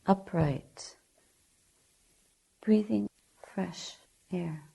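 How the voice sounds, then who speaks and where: A woman teaching guided meditation in a soft, breathy, gentle way, speaking in short deliberate phrases with long pauses. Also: lowish voice, low-quality recording. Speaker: Buddhist nun, meditation teacher.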